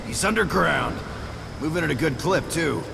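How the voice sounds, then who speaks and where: Gravelly, brash soldier of fortune. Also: male voice, on the high side, lively intonation, moderate pace, noisy background. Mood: gruff, sarcastic. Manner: sarcastic, swaggering. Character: brash, foul-mouthed, wisecracking male soldier of fortune. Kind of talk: video game character acting. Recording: loud music behind the voice.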